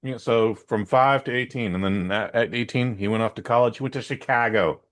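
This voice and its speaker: A man's voice: medium pitch, brisk. An American man co-hosting a podcast, telling rambling, easygoing stories full of fillers. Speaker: podcast co-host. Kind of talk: casual podcast chat.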